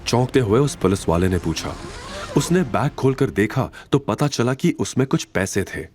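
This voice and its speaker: A man's voice: mid-range voice, lively intonation, normal speed, darkish tone, faint music behind. A man narrating a scripted dramatic audio story.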